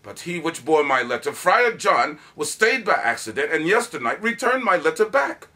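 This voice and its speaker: A man's voice: on the high side, very animated, moderate pace, sharp tone, exaggerated voice. A man performing a grave cleric who confesses a tragedy in a solemn, declamatory Shakespearean monologue. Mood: urgent, confessing.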